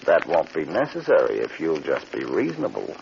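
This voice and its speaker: An actor playing a menacing escaped convict with mock-friendly threats, in 1940s radio drama style. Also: male voice, very deep voice, huge pitch swings, a little fast, gravelly, full character voice. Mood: mocking menace. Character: smooth-talking, menacing escaped convict, a wayward brother. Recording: dull, hissy 1940s radio broadcast.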